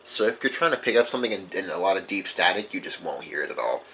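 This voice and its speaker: Low-voiced man, casual and rambling. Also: animated, quick pace, fairly bright tone, rough recording. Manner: rambling, informal, self-correcting. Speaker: radio collector presenting his collection. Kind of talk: casual unscripted show-and-tell. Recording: faint background noise.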